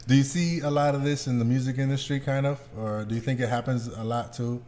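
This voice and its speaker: A man's voice: mid-range voice, fairly even intonation, fast talker, a crowd in the background. A man hosting a podcast, chatting informally and bluntly and putting questions to the others. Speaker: podcast host. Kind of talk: casual chat.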